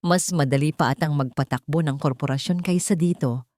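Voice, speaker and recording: A woman's voice: low-pitched, fairly quick, a little mellow, dry recording, studio quality, natural voice. A woman voicing a proud, righteous and devoted fallen male tycoon in an audio drama, intense and solemn. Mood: flustered.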